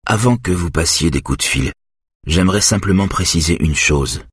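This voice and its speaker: A calm male hypnotist with a very deep, husky voice that stays soft and slow as a male narrator reads him. The voice sits close and dry, the way an audiobook reading does. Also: dry recording, heavily acted. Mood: measured.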